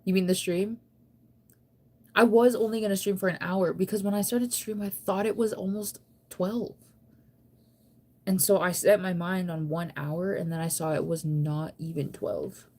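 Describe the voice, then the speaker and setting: A woman's voice: lowish voice, fairly even intonation, normal speed, darkish tone. An American woman in her thirties chatting casually on a livestream, rambling and conversational with a playful, self-teasing manner. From United States. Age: 30s. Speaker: live streamer. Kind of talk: casual late-night livestream chat, reading and answering viewer comments.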